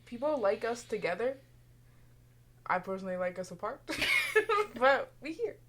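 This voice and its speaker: A woman's voice: fairly high pitch, sing-song, measured pace, fairly bright tone. A young woman co-hosting a podcast in casual chat, mumbling a bit and laughing often. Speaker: podcast co-host.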